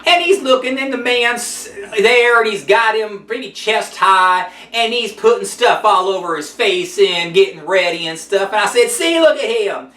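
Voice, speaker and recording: A man's voice: high-pitched, animated, moderate pace, few pauses, bright, forceful tone. A man voicing a chatty, sassy old grandmother who hosts a cooking show, rambling in a folksy, gossipy way and a bit out of breath. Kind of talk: comedic character monologue. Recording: roomy sound, mic at a distance.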